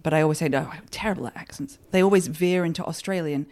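A woman's voice: deep voice, fast, a little mellow. A woman with a southern English accent, chatting casually as a podcast guest and telling stories with dry, wry humor. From England. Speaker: actor guest. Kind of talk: casual chat.

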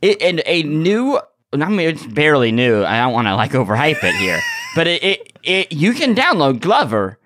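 A man co-hosting a podcast with casual, playful banter and halting restarts. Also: a little high, huge pitch swings, noisy background. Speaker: podcast co-host.

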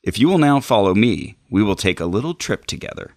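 A man reads as an alien guide from a book, deep and crackly in voice. He explains things in a calm, formal, instructive way. The sound is close and dry. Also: brisk, dry recording. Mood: calm explaining. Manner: formal, expository.

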